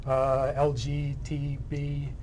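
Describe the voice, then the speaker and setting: A man answering interview questions as a playwright guest, conversational, with halting and hesitant phrasing. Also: mid-range voice, monotone, a little mellow. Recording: faint background room noise.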